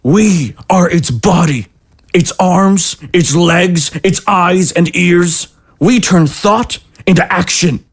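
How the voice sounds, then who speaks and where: Audio drama military commander, male actor: higher-pitched man, rousing and emphatic orator, pauses for effect. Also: breathy edge, heavily acted. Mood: fervent, rallying. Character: fiery military commander rallying troops against zombies.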